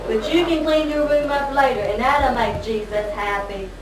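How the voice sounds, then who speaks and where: A woman's voice: fairly high pitch, normal speed, a crowd in the background, lightly acted. A woman voicing a kindly, forgiving puppet who teaches children in a church show. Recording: distant echoey hall, loud noise.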